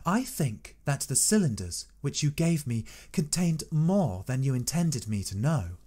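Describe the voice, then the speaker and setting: A man reading a Victorian novel aloud, voicing a young woman who pleads earnestly and gravely to help in a terrible struggle. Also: mid-range voice, big pitch swings, a little slow, warm tone. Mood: earnest pleading.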